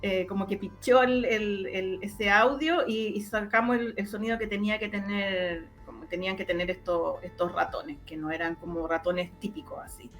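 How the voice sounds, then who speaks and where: A woman's voice: medium pitch, steady tone, moderate pace, hardly any pauses, fairly bright tone, faint music behind. A woman with a Chilean accent giving casual interview answers, explaining eagerly and often repeating words as she searches for the next one. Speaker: interviewee, radio drama maker.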